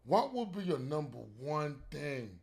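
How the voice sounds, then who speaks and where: A man from Haiti hosting an interview, asking questions in a playful, joking, animated way. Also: on the high side, a little slow. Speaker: interview host, DJ. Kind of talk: casual interview talk.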